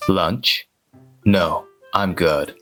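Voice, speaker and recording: Slow, low-voiced, reserved office man, acted by a man. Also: fairly even intonation, pushed and bright, faint music behind, full character voice. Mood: flat, dismissive. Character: reserved, awkward male office worker, narrating his own story. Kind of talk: scripted first-person narration in a manga dub.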